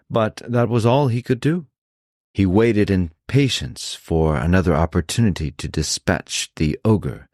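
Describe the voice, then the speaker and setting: A man's voice: deep voice, darkish tone, dry recording, studio quality. A man reading a fairy tale aloud as a storyteller, with dramatic scripted narration.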